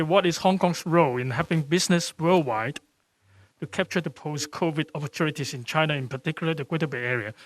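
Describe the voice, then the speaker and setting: A man moderating a business forum, putting questions to a panelist in a halting, deliberate way. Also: a little high, dry recording.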